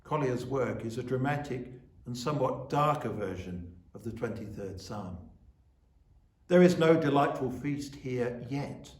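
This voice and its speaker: A man giving a reflective scripted sermon as a church officiant, solemn and measured in his phrasing. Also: mid-range voice, expressive, a little mellow. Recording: echoey room, distant microphone.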